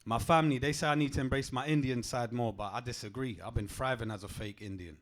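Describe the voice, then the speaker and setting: A young man, doing a stand-up comedy set on a club stage with a deadpan, punchy delivery. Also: fast. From England. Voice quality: deep voice.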